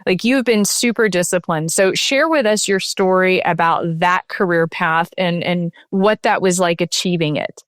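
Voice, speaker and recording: A woman's voice: fairly low pitch, moderate pace, hardly any pauses, fairly bright tone, dry recording, clean studio sound. A woman hosting an interview, conversational and affirming, rephrasing her questions as she goes. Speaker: podcast host.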